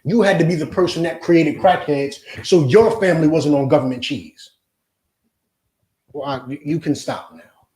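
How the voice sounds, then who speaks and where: A man teaches a religious class in a forceful, insistent preaching style, checking again and again that his listeners follow. His voice sits fairly high for a man. The room around him rings with echo. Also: moderate pace. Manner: forceful, repeats "you understand?". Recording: echoey room.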